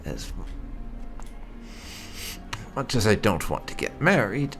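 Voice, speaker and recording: Low, gravelly man with swooping pitch. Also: very animated, slow pace, a bit bright, soft background music. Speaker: gaming video host. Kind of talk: roleplay playthrough commentary.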